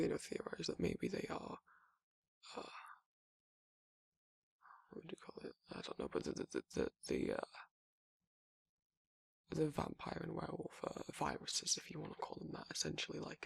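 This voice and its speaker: A man voicing a gruff, laid-back werewolf boyfriend in an intimate ASMR roleplay, with a husky gravelly voice and a halting, rambling, casual delivery. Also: on the high side, very animated, no echo, full character voice. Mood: musing, rambling.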